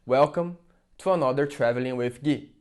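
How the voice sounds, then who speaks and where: A man's voice: mid-range voice, normal speed, darkish tone, smooth, soft background music. Brazilian guy vlogging in english, accent, mid voice, kinda rambly w fillers. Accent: Brazilian Portuguese. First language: Portuguese. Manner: chatty, rambling, with many fillers and restarts. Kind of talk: casual opinion vlog. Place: in England.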